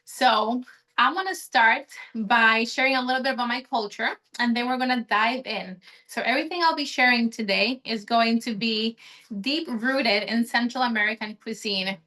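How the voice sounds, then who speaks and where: A woman's voice: a little high, normal speed, pushed and bright. A woman from Honduras leading a friendly, explanatory online cooking demonstration, talking while she chops and prepares food. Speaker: cooking demo instructor.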